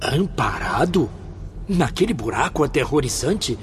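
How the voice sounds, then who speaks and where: Horrified spirit lady, deep voice, really expressive pitch, radio play. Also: measured pace, a little hiss or hum, heavily acted. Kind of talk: radio drama acting. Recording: music behind the voice.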